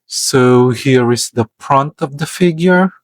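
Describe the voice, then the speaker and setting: A man's voice: mid-range voice, slow pace, dry recording. Man reviewing an action figure. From Philippines. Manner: hesitant, searching for words, careful. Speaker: toy reviewer. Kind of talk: product review voice-over.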